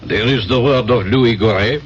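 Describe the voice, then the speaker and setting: An actor playing a stern, formal French police inspector with a French accent and a sceptical manner, in 1970s radio drama style. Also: male voice, mid-range voice, moderate pace, lightly acted. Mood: coolly sceptical. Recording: dull noisy vintage radio broadcast.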